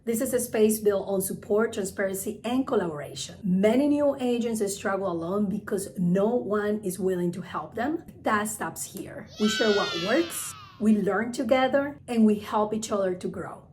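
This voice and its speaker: A woman with a Spanish accent, native in Spanish, speaks at a middle pitch as she teaches like a trainer. She stresses and repeats her key points. Ambient noise is clearly audible in the recording. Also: normal speed, hardly any pauses, darkish tone. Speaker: travel agent and group trainer. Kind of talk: instructional video talk.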